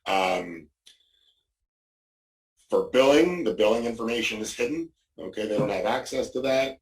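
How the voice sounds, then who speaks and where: A man's voice: lowish voice, lively intonation, moderate pace. A man giving an informal, explanatory product demo as a walkthrough, often punctuating his points with "okay". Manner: explanatory, frequently checking with "okay". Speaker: product demonstrator. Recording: roomy echo, distant microphone.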